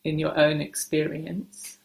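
A woman meditation teacher giving gentle guided instruction in short, unhurried phrases with hesitant pauses, recorded in a roomy, echoing space. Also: low-pitched, level tone, gravelly.

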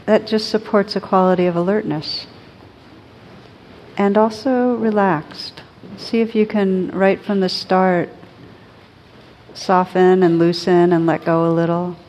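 Woman, meditation teacher, slightly low pitch, very slow pace, gentle soothing delivery, long pauses. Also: fairly even intonation, a little hiss or hum, poor audio. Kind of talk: guided meditation.

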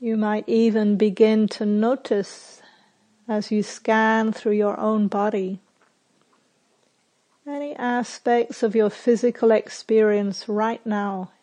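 A woman meditation teacher guiding a sitting meditation in a soft, unhurried way with long pauses. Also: mid-range voice, fairly even intonation. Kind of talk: guided meditation. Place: retreat center.

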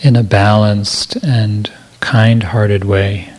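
Slow, low-pitched man guiding meditation. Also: flat delivery, husky. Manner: gentle, measured, with long pauses. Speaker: meditation teacher. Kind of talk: guided meditation.

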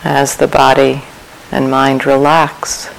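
A woman meditation teacher leading a guided meditation in a calm, measured way, with long pauses between short phrases. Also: very deep voice, vocal fry, a little hiss or hum, poor audio.

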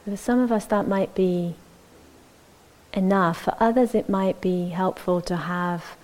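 A woman teaching meditation, giving gentle, measured instructions with long pauses between short phrases. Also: mid-range voice.

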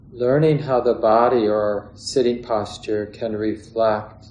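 A man guides a meditation in a calm, measured way, leaving long pauses between phrases. His voice is on the low side and stays very level. The room adds a clear echo around his words. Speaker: meditation teacher. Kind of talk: guided meditation, unscripted instruction. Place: meditation hall or large room. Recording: roomy echo, faint background noise.

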